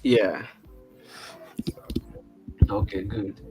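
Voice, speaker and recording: A man's voice: on the low side, drawn-out words, over loud music, rough recording. Chatty Nigerian man hosting a stream. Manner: relaxed, chatty, repeats short acknowledgements. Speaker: livestream host. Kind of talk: casual online interview.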